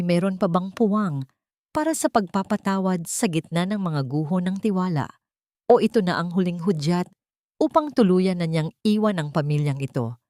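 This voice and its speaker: A woman's voice: on the low side, a little mellow, no echo, clean studio sound. A woman reading a scripted dramatic story in a solemn, melodramatic manner. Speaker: storyteller narrator.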